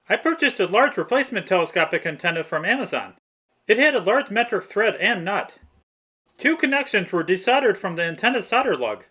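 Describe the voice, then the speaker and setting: High-pitched, bright-voiced man narrating. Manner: methodical, matter-of-fact. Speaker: hobbyist restorer narrating own repair video. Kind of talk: scripted how-to narration.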